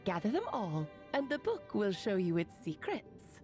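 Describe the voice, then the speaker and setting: A woman's voice: mid-range voice, wildly swooping pitch, normal speed, dark tone, soft background music. A woman voicing a playful, theatrical witch aunt who teases fondly and laughs between her lines. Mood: knowing.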